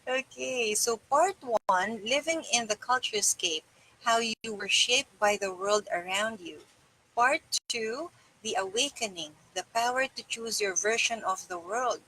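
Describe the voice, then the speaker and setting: Slow-paced woman reading over background noise. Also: on the high side, pushed and bright, poor audio. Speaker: live-stream host reading a book aloud. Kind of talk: reading aloud with casual asides to live viewers. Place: small indoor room with bare wall.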